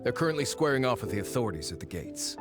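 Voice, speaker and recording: Man playing a wry, disdainful faction agent, lively delivery. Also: medium pitch, quick pace, over music, close to an everyday voice. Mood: cautioning. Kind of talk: video game character acting.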